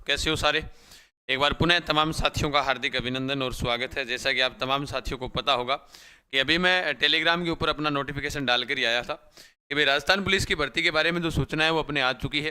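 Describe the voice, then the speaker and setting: A young man teaching exam students live. His voice is slightly hoarse, and he talks repetitively, often calling viewers brother. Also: on the high side, sharp tone. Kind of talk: live-stream class talk.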